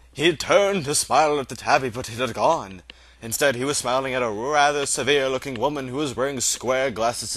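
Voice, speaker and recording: Male story narrator: theatrical, emphatic reading, forward bright tone, very dry sound. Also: mid-range voice, dry recording. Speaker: amateur audiobook reader.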